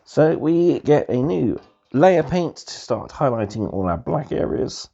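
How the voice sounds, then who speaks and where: Hoarse guy with a bad cold doing a chill hobby review, gravelly low-key voice, pitch jumps around a lot, kinda dull lo-fi audio. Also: unhurried. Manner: relaxed, easygoing, keeps calling things pretty cool. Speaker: host of a tabletop hobby channel. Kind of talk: casual magazine review. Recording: dull lo-fi recording.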